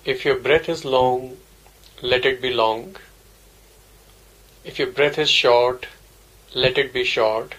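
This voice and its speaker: Male meditation guide, medium pitch, slow and measured delivery, plain repetitive phrasing. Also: fairly even intonation, fairly bright tone, low-quality recording. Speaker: meditation teacher.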